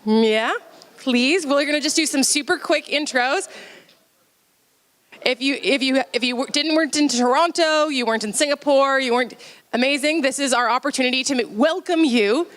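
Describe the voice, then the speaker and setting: A woman's voice: sits high, moderate pace, a bit bright. Woman hosting in a reverberant hall. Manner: upbeat, cheering, many fillers. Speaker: event host. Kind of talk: conference kickoff talk. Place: conference hall.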